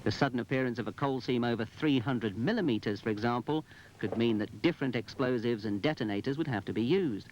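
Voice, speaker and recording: A man's voice: mid-range voice, a little fast. Instructive male narrator, muffled old film. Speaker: narrator and presenter. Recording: muffled, noisy 1980s film soundtrack.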